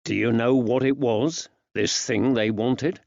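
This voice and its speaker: Man acting a kindly gentle questioner, coaxing, slow, old-fashioned working-class phrasing, audiobook character, slightly muffled. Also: mid-range voice, steady tone, creaky, no room sound. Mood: softly probing.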